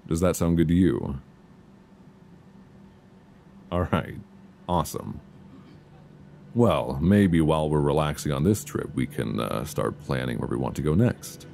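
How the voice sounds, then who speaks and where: Very deep, gravelly, soothing boyfriend played by a man. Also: animated, exaggerated voice. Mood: affectionate, relaxed. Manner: soft, intimate, unhurried, soothing. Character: affectionate, reassuring young boyfriend on vacation. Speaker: ASMR roleplay voice performer. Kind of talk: ASMR boyfriend roleplay, intimate casual chat. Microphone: close binaural microphone. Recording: faint background noise, binaural.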